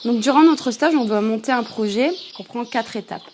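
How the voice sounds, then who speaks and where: A woman in her thirties, a trainee social youth worker, giving a clear explanatory interview answer. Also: a little high, normal speed, a little mellow, a little hiss or hum. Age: 30s.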